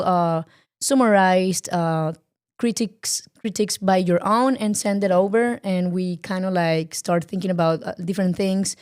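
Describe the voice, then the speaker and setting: A young Colombian woman speaking English with a Colombian Spanish accent, talking in a halting way with many fillers as she searches for words in a casual interview. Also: mid-range voice, darkish tone, smooth. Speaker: podcast guest, filmmaker.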